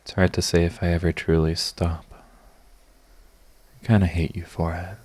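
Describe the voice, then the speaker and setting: A man playing a proud yet tender, lovestruck villain in a romantic ASMR audio roleplay, speaking in a halting, intimate, earnest way. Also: low voice, flat delivery, darkish tone. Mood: flustered, yearning.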